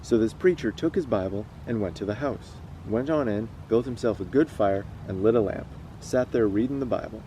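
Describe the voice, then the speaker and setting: A man's voice: lowish voice, normal speed, few pauses, mellow, a little hiss or hum, low-quality recording. A man hosting a casual read-aloud, moving between storytelling and chat. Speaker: host reading stories aloud.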